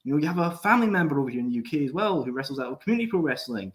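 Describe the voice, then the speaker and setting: Male podcast host, animated pitch, chummy and upbeat, casual interview. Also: racing. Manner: chummy, upbeat hype-man intros.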